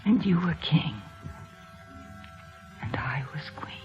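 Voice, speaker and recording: A woman's voice: low voice, expressive, takes it slowly. An actress playing a dying, lovesick young woman with wistful, theatrical delivery in an old-time radio drama. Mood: fading, loving. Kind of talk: 1940s-50s radio drama acting. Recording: muffled old radio broadcast with music.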